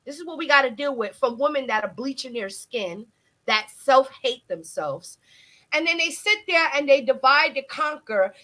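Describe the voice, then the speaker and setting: A woman with a very high, sharp and bright voice. She rants bluntly and fiercely, full of slang, as a guest on a live stream chat. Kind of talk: casual ranting chat.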